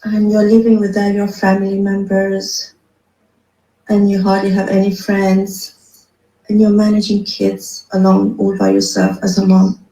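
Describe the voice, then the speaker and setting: A woman's voice: medium pitch, unhurried, dark tone, roomy sound, low-quality recording. A woman from Afghanistan, a mother, speaking earnestly to other mothers and listing her daily chores one by one as she vents. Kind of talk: personal monologue to other mothers.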